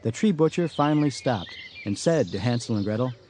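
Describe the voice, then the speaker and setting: A man's voice: mid-range voice, lively intonation, brisk, a little mellow, slight background noise. A man reading a satirical storybook as scripted audiobook narration. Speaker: author reading own audiobook.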